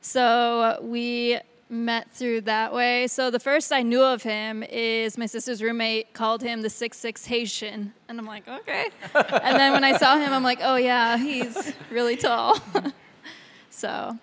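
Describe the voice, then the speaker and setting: A woman's voice: a little high, fairly even intonation, unhurried, fairly bright tone. A woman telling a personal story casually as a guest in a conversation. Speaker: panel guest.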